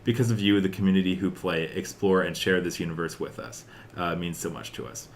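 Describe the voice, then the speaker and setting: Low-voiced young man, rambling podcast chat. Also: fairly quick. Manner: rambling, peppered with "like" and "uh". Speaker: podcast host. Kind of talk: casual chat about video game news.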